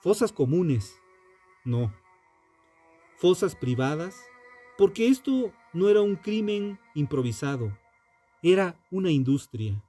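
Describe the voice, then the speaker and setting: Male narrator for true crime: mellow, dark-toned, unhurried and grave, sententious scripted delivery over music. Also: on the high side, sing-song. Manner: solemn, deliberate, sententious. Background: background music.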